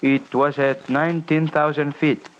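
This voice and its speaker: An actor playing a grave, earnest Sherpa guide in an old radio drama, speaking in formal, measured phrases. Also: male voice, fairly high pitch, little pitch movement, close to an everyday voice. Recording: noisy, muffled old radio recording.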